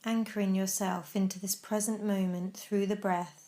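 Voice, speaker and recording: Woman guiding a meditation with measured phrasing. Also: medium pitch, flat delivery, warm tone. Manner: measured, instructional, with deliberate pauses. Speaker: meditation guide. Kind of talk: guided meditation narration.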